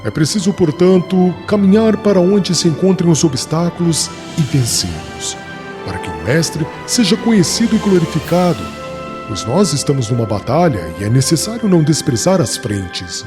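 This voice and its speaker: Male actor as fervent apostle, emphatic and oratorical, lively swinging intonation, audiobook drama, light music. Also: fairly high pitch, normal speed. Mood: resolute, exhorting.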